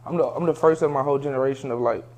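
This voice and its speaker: A man's voice: medium pitch, rapid-fire, darkish tone. A man in his late twenties, a comedian guest, talking in laid-back slang and laughing often. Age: 20s. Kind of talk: casual chat.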